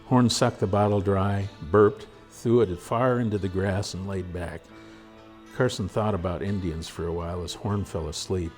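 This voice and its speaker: A man reading a story aloud as narrator in a measured, dramatic storytelling manner. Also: low-pitched, steady tone, a little mellow, soft background music. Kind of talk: scripted audiobook narration.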